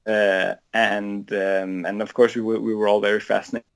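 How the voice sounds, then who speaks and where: A man in his twenties from Denmark, a native Danish speaker, answers questions as a podcast guest. His voice is fairly low with plenty of fry. He talks casually and haltingly, doubling back on words. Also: level tone. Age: 20s. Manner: hesitant, halting, repeats words. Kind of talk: casual interview chat.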